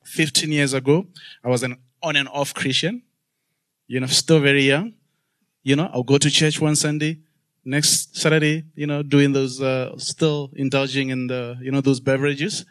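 A man's voice: medium pitch, fairly bright tone, dry recording. A man from Botswana, an audience member, telling a grateful, heartfelt story of his faith at a Q&A. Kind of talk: personal testimony.

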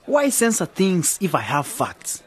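Animated, earnest young man. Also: high-pitched, measured pace, slightly rough, exaggerated voice. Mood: challenging. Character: earnest young man in a youth radio project. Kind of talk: scripted educational radio drama dialogue.

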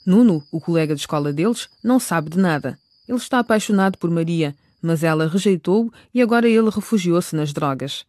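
A woman's voice: on the low side, lively intonation, brisk, hardly any pauses, darkish tone, no room sound, studio quality. A woman reading scripted narration for a radio drama as its narrator.

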